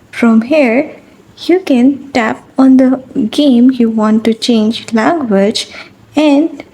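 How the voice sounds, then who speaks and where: Higher-pitched woman narrating a how-to tutorial. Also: mellow. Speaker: tutorial host. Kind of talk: scripted step-by-step how-to narration.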